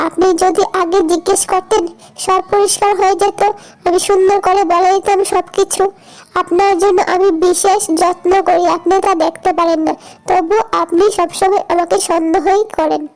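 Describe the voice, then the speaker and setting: A woman's voice: extremely high pitch, little pitch movement, moderate pace, darkish tone, low-quality recording. A woman voicing a dutiful young daughter-in-law in a cartoon, earnest and hurt as she pleads and explains herself. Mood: earnest, plaintive. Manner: earnest, self-justifying, plaintive. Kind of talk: cartoon voice acting.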